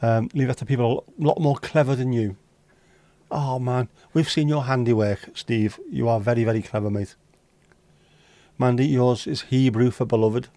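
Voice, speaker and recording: A man's voice: mid-range voice, steady tone, moderate pace, dark tone, no echo. A man hosting a casual livestream, reading out chat messages and teasing viewers with jokey, lightly sweary banter. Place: home livestream setup.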